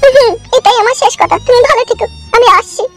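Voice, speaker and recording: A woman's voice: extremely high pitch, fast talker, over music. A woman voicing a tender but firm young married woman in a melodramatic cartoon, pleading earnestly. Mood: firm, sad. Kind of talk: melodramatic comedy cartoon dubbing.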